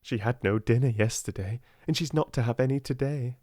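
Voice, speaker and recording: A man's voice: mid-range voice, brisk, darkish tone, dry recording, studio quality, close to an everyday voice. A man with a British accent voicing a priggish, spiteful schoolgirl in an audiobook, snappish and self-righteous.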